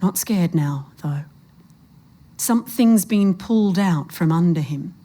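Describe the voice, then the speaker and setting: Dark-toned, measured woman reading fiction. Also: lowish voice, lively intonation. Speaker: short story narrator. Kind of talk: literary story reading, suspenseful.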